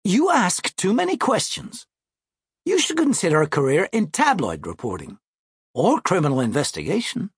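A man plays a pompous, self-assured young boy in a children's audiobook. The voice is lifted high and swings about with breezy confidence, wordy and smug. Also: wildly swooping pitch, moderate pace, breathy edge, no echo, clean studio sound, full character voice. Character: confident, pompous, precocious seventh-grade boy. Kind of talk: audiobook character voice.

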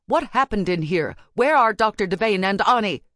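A woman's voice: medium pitch, animated, normal speed, fairly bright tone, no echo, clean studio sound, voice pushed up, natural voice. A woman voicing an urgent, protective female witch professor in a fantasy audiobook. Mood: firm, urgent. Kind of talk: audiobook character dialogue.

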